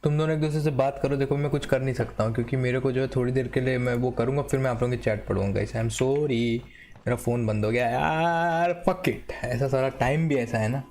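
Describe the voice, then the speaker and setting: A man's voice: medium pitch, rapid-fire, darkish tone. A young man with an Indian accent chatting casually as he streams a game, slangy, grumbling and joking. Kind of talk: casual live chat while gaming.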